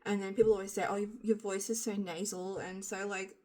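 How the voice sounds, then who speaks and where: A middle-aged woman with a husky, slightly nasal voice, hoarse from being sick, chatting frankly and rambling in a casual vlog filmed on a handheld phone. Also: mid-range voice, little pitch movement, brisk, darkish tone. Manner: rambling, frank, self-deprecating, swears casually. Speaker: vlogger. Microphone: handheld phone camera.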